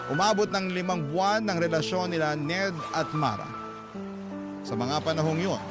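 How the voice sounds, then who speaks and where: A man voicing a heartbroken letter writer in Filipino radio drama narration, measured, earnest and confessional. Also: a little high, close to an everyday voice. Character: heartbroken letter writer recounting a lost love. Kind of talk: first-person scripted radio drama narration.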